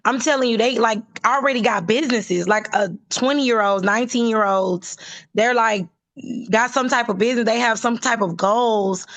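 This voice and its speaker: A young woman from Texas with a hoarse voice, chatting casually and haltingly as a livestream caller. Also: on the high side, fairly bright tone, no room sound. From Houston, Texas, USA. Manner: halting, frequent use of like. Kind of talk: casual chat.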